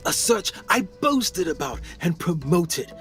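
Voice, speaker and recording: A man playing a deranged, dying explorer in a radio play, speaking in a halting, intense, pleading way. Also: high voice, big pitch swings, a bit bright, faint music behind, heavily acted. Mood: desperate confession. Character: deranged, dying male explorer, tormented and desperate. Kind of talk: dramatic radio play acting.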